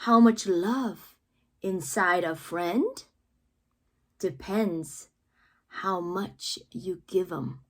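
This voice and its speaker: A woman reading children's poetry aloud with theatrical, deliberate phrasing and playful emphasis, adding asides as the reader. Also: fairly low pitch. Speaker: read-aloud host, teacher-like reader.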